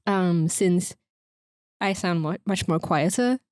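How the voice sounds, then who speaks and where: A soft-spoken woman co-hosting a podcast, talking casually in a quiet voice. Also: on the low side, slow pace, dry recording, clean studio sound. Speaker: podcast co-host. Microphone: close podcast microphone.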